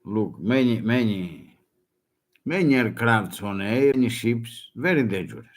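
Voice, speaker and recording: A man whose first language is Greek, speaking broken English in a halting, urgent warning with many restarts. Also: lowish voice, expressive. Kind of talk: halting self-recorded warning.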